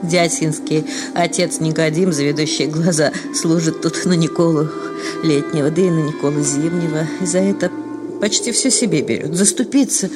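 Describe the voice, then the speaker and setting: A woman's voice: sits low, normal speed, natural voice. A woman playing a plaintive village sexton's wife, music behind. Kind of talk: Russian radio play of a classic story.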